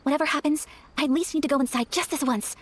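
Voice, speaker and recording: A woman playing a refined, earnest young noblewoman in a video game, speaking politely and formally in a gentle, hesitant way. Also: high voice, fast talker, natural voice. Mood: gentle, hopeful. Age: young adult. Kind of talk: video game character acting. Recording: faint music behind the voice.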